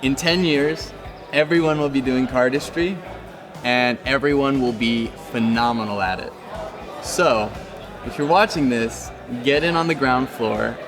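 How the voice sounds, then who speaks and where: A man's voice: medium pitch, unhurried. A man speaking wryly and jokingly in a casual interview. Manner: wry, joking. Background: music.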